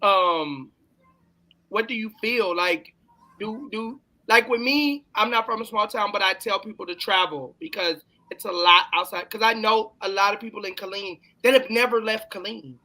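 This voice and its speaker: Bright-voiced Texan man hosting a chat. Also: sits high, normal speed, poor audio. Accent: Texas. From California, long settled in Texas. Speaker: talk show host. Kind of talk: casual live-stream interview chat.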